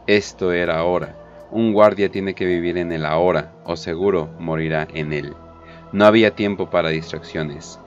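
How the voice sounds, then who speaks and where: A man's voice: low voice, highs cut off. A man narrating a scripted war story in a dramatic audio drama style. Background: prominent background music.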